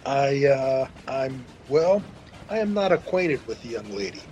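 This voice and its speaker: A man's voice: mid-range voice, very animated, a little slow, darkish tone, highs cut off, a little hiss or hum. An actor playing a guarded, evasive man in a radio drama, formal and hesitant. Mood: secretive, flustered. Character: guarded, evasive man hiding his romance.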